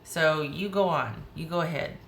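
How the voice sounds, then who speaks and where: A woman with a hoarse voice giving a chatty, rambling tarot reading, often restarting her sentences. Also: low-pitched, normal speed, reverberant, slight background noise, low-quality recording. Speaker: tarot reader.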